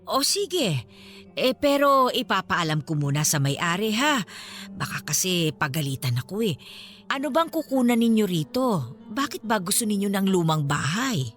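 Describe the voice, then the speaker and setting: An elderly woman caretaker in a Filipino radio drama. She is modest, folksy and chatty, with a pitch that swings about as she exclaims. Faint music runs underneath. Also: sing-song, moderate pace, a bit bright. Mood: plain, obliging. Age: older.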